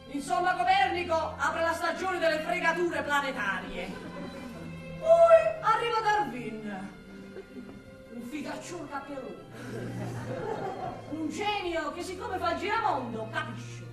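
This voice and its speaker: An actress plays an unsettling, darkly ironic man confiding murderous thoughts in a radio drama. The voice is high and slow, with volatile, theatrical delivery. It sounds heavily echoed, as if from a distance. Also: very animated, crowd noise, full character voice. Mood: fervent, ironic. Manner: volatile, swinging from murmurs to shouts, drawn-out words. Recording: very echoey, distant, music behind.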